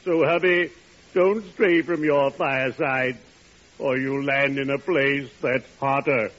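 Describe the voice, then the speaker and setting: An actor playing a friendly, morbidly genteel undertaker in 1940s radio comedy, with a formal, theatrical, drawn-out delivery. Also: male voice, medium pitch, fairly even intonation, fairly bright tone. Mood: solemnly scandalized. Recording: noisy, dull old radio recording.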